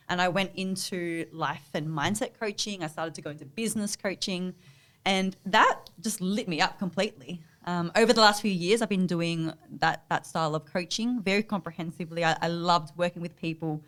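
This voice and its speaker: A woman's voice: lowish voice, fairly bright tone. Emphatic woman coach. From Australia. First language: Vietnamese. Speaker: guest, business coach. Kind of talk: motivational interview answers.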